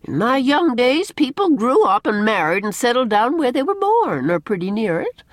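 A woman's voice: a little high, very animated, moderate pace, no room sound, studio quality, voice pushed up. A woman voicing a stern, opinionated older village matron in an audiobook, emphatic and scolding with old-fashioned country phrasing. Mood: authoritative. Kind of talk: audiobook character dialogue.

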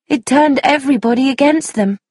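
A woman's voice: sits high, a little fast, a little mellow, no echo, pitched above the performer's own voice, close to an everyday voice. A woman voicing an opinionated, genteel woman with a thin voice in an audiobook. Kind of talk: audiobook character dialogue.

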